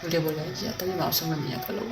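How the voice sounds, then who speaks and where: A very fast, flat-toned streamer with an Indonesian accent mutters casual asides. The recording is roomy and echoing, with loud game music. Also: mid-range voice. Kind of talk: casual game reaction commentary.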